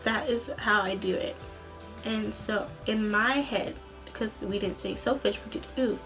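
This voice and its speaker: A young woman telling a story in a casual, rambling vlog style, animated, with a slightly tired, tense voice. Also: medium pitch, measured pace, over music, poor audio. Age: young adult. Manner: animated, rambling, acts out quoted dialogue. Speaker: student singer and vlogger.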